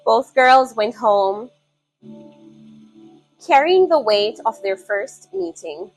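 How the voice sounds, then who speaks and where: Slow, solemn female story narrator. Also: a little high, expressive, soft background music. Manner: solemn, measured delivery in short phrases. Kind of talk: scripted dramatic story narration.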